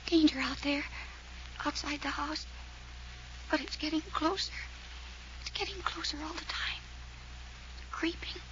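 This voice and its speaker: High-pitched young woman, fearful, insistent, foreboding, old radio mystery, background noise. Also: a little slow, pauses often. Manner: anxious, insistent, repeating herself. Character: frightened young granddaughter who forebodes doom. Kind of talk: 1940s radio serial acting. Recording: noisy old radio drama recording.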